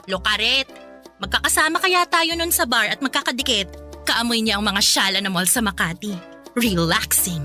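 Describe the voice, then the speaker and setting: A woman's voice: a little high, moderate pace, sharp tone, soft background music. A woman playing a sassy, teasing young best friend in a Filipino radio drama, with brash, slangy banter. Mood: playful.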